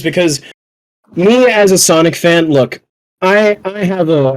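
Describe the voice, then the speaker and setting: A man's voice: on the high side, darkish tone, poor audio. A young man chatting casually as a podcast panelist, slangy, sweary and self-deprecating. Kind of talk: casual fan chat.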